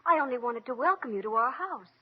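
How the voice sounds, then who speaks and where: Gentle young heroine: wistful, polite, high-pitched, vintage muffled radio play. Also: female voice, expressive, fast talker, breathy edge. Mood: pleading, hurt. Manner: polite, breathless, earnest, pleading. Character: sweet, meek, wistful young girl. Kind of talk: old radio play acting. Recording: muffled old radio recording.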